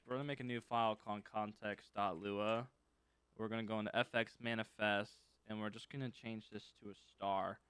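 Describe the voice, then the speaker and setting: Crisp, bright-voiced man coding live. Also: medium pitch, dry recording. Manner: thinks aloud while working, loose and informal, reads chat and answers. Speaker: streamer teaching game-server scripting. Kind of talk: casual live coding stream.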